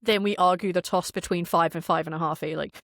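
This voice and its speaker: A woman's voice: lowish voice, steady tone, a little fast, gravelly, a bit bright, no echo. Chatty woman, close dry sound. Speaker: podcast guest, game designer. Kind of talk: casual interview chat.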